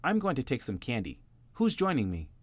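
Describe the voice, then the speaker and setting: A man voicing a greedy, impulsive little boy bunny in a children's storybook, with high, childlike squeals. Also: wildly swooping pitch, brisk, no echo. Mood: sneaky. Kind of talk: storybook reading.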